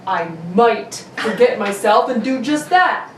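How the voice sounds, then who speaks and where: Woman playing a curt high-voiced masked vigilante. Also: lively intonation, a little slow. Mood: defiant. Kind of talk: live reading of a 1940s radio serial. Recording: roomy, distant microphone with faint noise.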